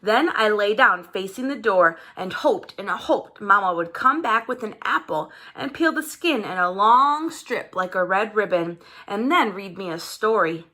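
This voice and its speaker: A woman reading a children's story aloud in an expressive, dramatized way. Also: mid-range voice, measured pace, hardly any pauses. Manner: animated, dramatized delivery with character voices. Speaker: storybook reader.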